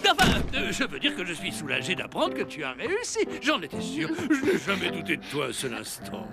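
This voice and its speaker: A pompous old Santa from a cartoon, easily flustered. The voice is raised and creaky, with theatrical delivery over loud music. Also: male voice, high voice, wildly swooping pitch, a little fast, exaggerated voice. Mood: relieved, flustered. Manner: dramatic, pleading, blustering. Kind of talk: French animated series dubbing. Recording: music behind the voice.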